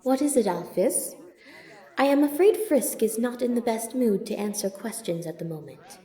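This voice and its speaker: A woman playing a gentle, protective monster mother in an audio drama, speaking in a formal, careful, soothing way. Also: on the high side, expressive, normal speed, roomy sound, lightly acted. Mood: concerned.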